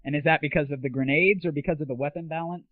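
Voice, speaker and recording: A young man with a fairly high-pitched voice hosts a casual gaming show. His interviewing is informal and conversational. The sound is thin and phone-like, with very little room echo, like 1990s internet audio. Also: fairly even intonation, very fast.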